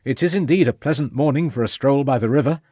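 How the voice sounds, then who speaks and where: A man's voice: medium pitch, quick pace, dark tone, slightly rough, no room sound, studio quality, voice pushed up, close to an everyday voice. A man voicing a genial Victorian clergyman in a storybook, courteous and formal. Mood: pleasant.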